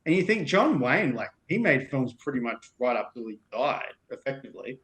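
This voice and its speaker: A man's voice: mid-range voice, big pitch swings, normal speed, a bit bright. An Australian man in his thirties, chatting as a podcast guest in a rambling way with many fillers and restarts. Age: 30s. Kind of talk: casual chat.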